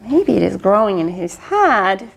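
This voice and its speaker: A woman reading a picture book aloud to children, expressive and swooping, with lots of exclamations. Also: mid-range voice, unhurried, creaky. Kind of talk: read-aloud storytelling to children.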